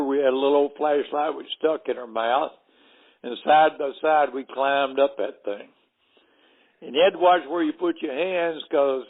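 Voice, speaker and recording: An older man from Alabama with a Southern accent, telling outdoor stories as a podcast guest in a folksy, chuckling way. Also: fairly high pitch. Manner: folksy, chuckling between anecdotes. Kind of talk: casual storytelling chat. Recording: narrow phone-like podcast audio.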